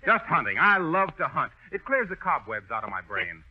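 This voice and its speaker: An actor playing a hearty pitchman and customer, an announcer in a 1930s radio comedy. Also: very animated, moderate pace. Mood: hearty, smooth. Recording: dull 1930s radio recording.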